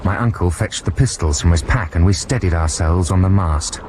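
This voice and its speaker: An actor playing an earnest, excitable young nephew telling his adventure in a radio drama. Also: sits low, normal speed, natural voice. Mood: dramatic narration. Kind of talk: radio drama narration and dialogue. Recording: noisy radio broadcast, sea effects.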